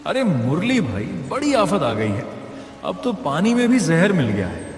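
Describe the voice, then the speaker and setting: A man's voice: fairly high pitch, wildly swooping pitch, measured pace. A man voicing a worried village man in a cartoon, urgent and earnest. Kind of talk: Hindi moral story cartoon dub. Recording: echoey reverb over faint music.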